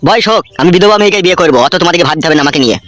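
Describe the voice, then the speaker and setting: A man's voice: on the high side, lively intonation, very fast, fairly bright tone, faint music behind. A man playing a cocky, stubborn tough guy in his twenties in a comedy cartoon, brash, with a Bengali accent. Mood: dismissive. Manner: brash, dismissive.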